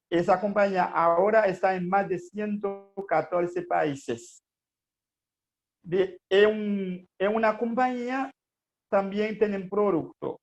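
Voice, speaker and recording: A man's voice: high-pitched, vocal fry, highs cut off. A Haitian man whose first language is Haitian Creole, giving an earnest motivational business presentation in Spanish-accented speech with frequent hesitations and fillers. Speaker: network marketing presenter.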